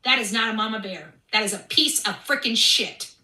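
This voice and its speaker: A woman's voice: mid-range voice, moderate pace, sharp tone. A brash, foul-mouthed woman on a confrontational, emphatic rant. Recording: echoey room sound.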